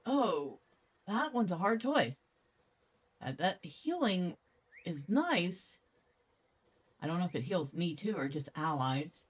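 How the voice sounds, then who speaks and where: Woman, game playthrough host, chatty, thinking aloud, slightly low pitch, lively intonation. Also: slow pace, long pauses, breathy edge. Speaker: gaming video host. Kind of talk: casual game playthrough commentary.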